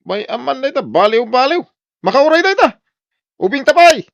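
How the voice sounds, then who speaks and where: A man's voice: very high voice, sing-song, brisk, vocal fry, a bit bright, no room sound, highs cut off, full character voice. A man with an Ilocano accent playing a hard-drinking husband in an audio drama, boisterous with friends, belligerent or sheepish at home. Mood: tipsy, rowdy.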